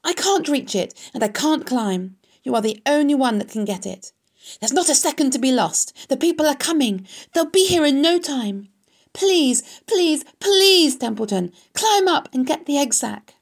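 A woman's voice: high-pitched, expressive, moderate pace, pitched above the performer's own voice. A woman voicing an emotional young male pig in a storybook, pleading and wailing dramatically. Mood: urgent pleading.

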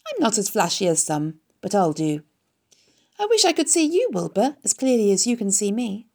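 A woman voicing a kindly, self-assured female spider in a storybook, speaking in a genteel, precise and deliberate way. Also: medium pitch, sing-song, a little mellow. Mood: poised.

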